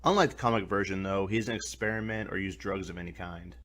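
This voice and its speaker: Guy with a lowish voice doing a scripted review, colloquial and a bit sweary. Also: steady tone, fast talker, fairly bright tone, slight background noise. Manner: colloquial, mildly profane. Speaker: reviewer, host. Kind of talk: scripted video review narration.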